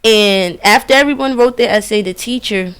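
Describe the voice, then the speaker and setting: A woman's voice: mid-range voice, normal speed, a bit bright, smooth. A woman radio host in casual conversation, speaking in an earnest, emphatic, colloquial way. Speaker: radio DJ and interviewer.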